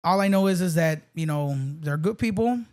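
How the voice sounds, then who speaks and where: A man's voice: high voice, normal speed, a little mellow, smooth. A man from South Texas chatting casually as a podcast guest, joking and laid-back, dropping Spanish words.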